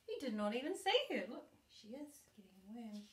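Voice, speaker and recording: A middle-aged woman reading a children's story aloud expressively, with deliberate emphasis for a young listener. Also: a little high, big pitch swings, slight background noise. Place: living room sofa, indoors. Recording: echoey living room.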